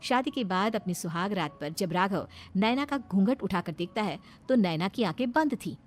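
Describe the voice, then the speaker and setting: A woman's voice: medium pitch, animated, moderate pace. A woman reading scripted narration for a cartoon story. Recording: music behind the voice.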